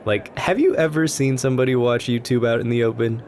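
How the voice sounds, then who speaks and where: A man playing a shy, sweet, nervous writer chatting to a stranger in a café, in a gentle, rambling ASMR roleplay style. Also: mid-range voice, brisk, natural voice. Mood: chatty, warm. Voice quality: gentle, soft-spoken. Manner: anxious, rambling, earnest. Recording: noticeable coffee shop ambience noise. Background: coffee shop ambience.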